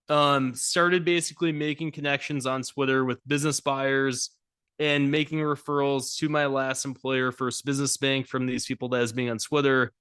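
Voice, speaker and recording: A man speaking as a podcast guest, telling his career story in a brisk, businesslike way. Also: mid-range voice, fairly bright tone, smooth, no echo, clean studio sound. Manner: brisk, businesslike, runs through lists. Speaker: podcast guest, lending advisor. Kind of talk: casual interview chat.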